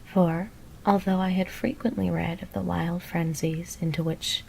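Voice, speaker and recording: A woman whispering a scary story in ASMR style, reading in a breathy, deliberate, literary manner. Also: medium pitch, a little mellow, a little hiss or hum, low-quality recording. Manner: measured, deliberate literary narration.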